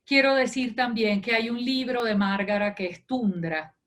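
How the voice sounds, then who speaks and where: A woman writer on an online panel, speaking reflectively with halting pauses and fillers. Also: on the high side, a bit bright. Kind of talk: reflective discussion.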